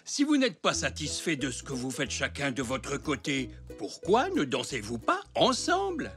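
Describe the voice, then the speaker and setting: A woman voicing a caring, encouraging adult zebra in a children's cartoon, with an expressive delivery. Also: extremely low pitch, moderate pace, soft background music, exaggerated voice. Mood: playful encouragement. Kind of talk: cartoon dubbing.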